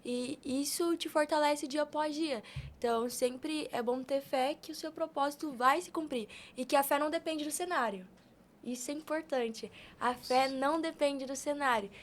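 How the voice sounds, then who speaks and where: A woman's voice: high voice, normal speed. A woman of eighteen with a Brazilian accent, talking casually as a guest on a podcast. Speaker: podcast guest, youth preacher.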